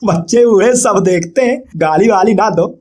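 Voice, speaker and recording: A performer voicing a sensible young man of thirty in a comic cartoon, reasoning and gently reproving with mild exasperation. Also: medium pitch, moderate pace, natural voice. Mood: exasperated.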